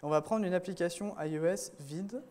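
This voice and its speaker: A man's voice: high-pitched, normal speed, dark tone. A man giving a casual technical talk to a room of developers at a conference, with many fillers and restarts. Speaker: conference speaker.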